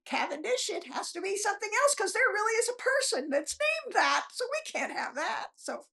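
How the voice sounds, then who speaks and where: A woman's voice: very high-pitched, big pitch swings, moderate pace, gravelly, pushed and bright. An older woman, chatting casually in an interview in a rambling, self-deprecating, chuckling way. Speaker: guest author.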